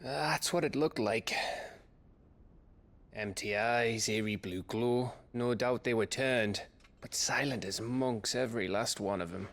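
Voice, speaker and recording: Loyal rebel scout, mid-pitched, wry, plain-spoken, fantasy game. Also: male voice, unhurried, fairly bright tone. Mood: sober, reporting. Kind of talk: cinematic game dialogue.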